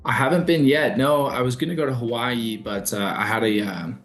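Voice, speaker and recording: A man in his thirties from Alberta, Canada, speaking as a podcast guest, relaxed and plainspoken. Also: mid-range voice, normal speed, faint music behind. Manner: relaxed, many fillers and restarts, plainspoken. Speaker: sales manager guest. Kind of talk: casual interview answers.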